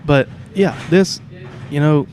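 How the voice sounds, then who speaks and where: A man's voice: fairly high pitch, slow, a little mellow. A man hosting a podcast in an informal, conversational way, recorded in a shared studio space in a theater. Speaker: podcast host. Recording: clear background noise.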